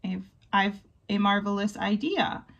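A woman voicing a big, gentle, insecure male elephant in a children's storybook, earnest and emotional. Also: medium pitch, unhurried, dull audio. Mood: urgent.

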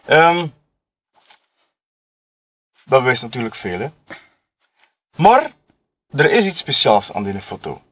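A man with a Flemish accent playing a chatty, self-excusing witness in a murder-mystery audio drama, talking colloquially and haltingly. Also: medium pitch, sing-song, a bit bright, no echo, exaggerated voice. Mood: explaining, insinuating. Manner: colloquial, halting, chuckling between phrases. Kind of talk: improvised-sounding audio drama dialogue.

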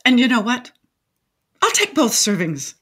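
An actress playing an opinionated, garrulous older widow in a comedic radio play, with a wordy, theatrical, dryly sardonic delivery. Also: female voice, on the high side, wildly swooping pitch, measured pace, a bit bright, slightly rough, heavily acted. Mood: proud, defiant. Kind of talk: comedic radio drama acting.

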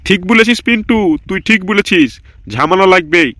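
Man voicing a bright, dithering cartoon village lad. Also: high-pitched, expressive, fairly quick, no room sound. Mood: wary, agreeing. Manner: muses aloud, gossipy. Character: idle, dithering village young man, anxious go-between. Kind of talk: Bangla comedy cartoon dubbing.

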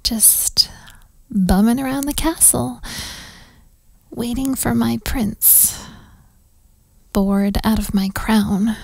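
Woman playing a slow-talking bratty young princess. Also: a little high, creaky, darkish tone, dry recording, heavily acted. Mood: bored, wistful. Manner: teasing, giggly, casual modern phrasing, dropping into whispers. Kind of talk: intimate roleplay audio drama.

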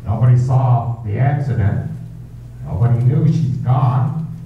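A man acting a halting, reluctant estate manager, recorded tinny, echoey and distant. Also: medium pitch, slight background noise, close to an everyday voice. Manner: halting, hesitant. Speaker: amateur radio drama actor.

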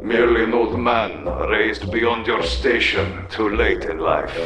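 An actor playing a cold, imperious armored warlord in a dramatic comic adaptation, speaking in a grandiose, commanding, deliberate way. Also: male voice, lowish voice, lightly acted. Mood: cold menace. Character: cold, imperious armored tyrant warlord narrating his conquest. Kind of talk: dramatic comic narration and dialogue. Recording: echoing voice under loud music.

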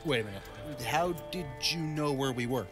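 A man's voice: medium pitch, expressive, normal speed, music in the background, natural voice. Man as a blunt, skeptical adventurer. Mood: bewildered, skeptical. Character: gruff, skeptical, exasperated male adventurer. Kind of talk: audio drama acting.

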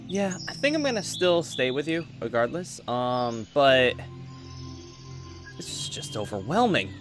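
A man playing an excitable, curious teenage son who is new at an academy, talking casually and eagerly in a Minecraft roleplay. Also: medium pitch, unhurried, soft background music. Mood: overwhelmed. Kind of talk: improvised Minecraft anime roleplay.

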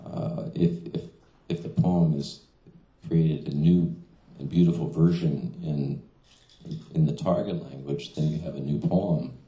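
A man's voice: extremely low pitch, fairly even intonation, a little slow, warm tone, dull audio. A middle-aged man, a translator, talking casually at a reading in a small venue, with many fillers and restarts. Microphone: stand mic in front of seated speaker. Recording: echoey room.

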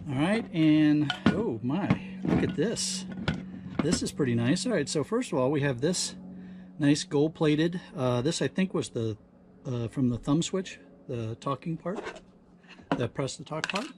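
A man's voice: on the high side, lively intonation, unhurried, noisy background. A man giving casual hands-on commentary as he works, thinking aloud in a folksy, exclaiming way. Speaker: hobbyist host of scrapping videos. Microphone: action camera or phone near the workbench.

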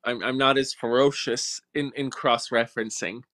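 A man hosting an interview over a video call, chatting casually and acting out his own reactions. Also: normal speed. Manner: lively, acts out his own reactions in a high voice. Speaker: interviewer host. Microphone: video call webcam.

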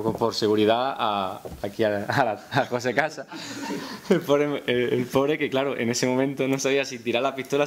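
A young man with a Spanish accent from Spain, speaking unscripted at a press conference and hesitantly repeating words as he goes. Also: mid-range voice. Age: young adult. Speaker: film director. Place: indoor press conference room. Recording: hissy press-room recording.

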